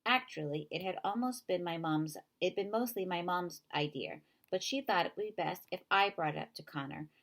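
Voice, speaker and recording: A woman narrating a children's novel in an expressive read-aloud style. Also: lowish voice, normal speed, gravelly, hardly any pauses.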